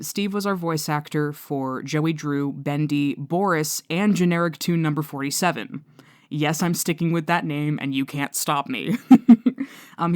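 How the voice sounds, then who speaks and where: A woman's voice: low voice, dry recording, studio quality. A woman giving a casual, chatty thank-you outro to an audience in an enthusiastic, gushing, informal way. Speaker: writer and voice actor thanking audience.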